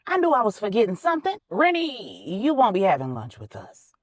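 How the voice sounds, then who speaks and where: No-nonsense female elder for audio fiction, voiced by a woman narrator; stern authority, animated pitch. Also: brisk, no room sound, studio quality. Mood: sly. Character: commanding matriarch, brisk and no-nonsense.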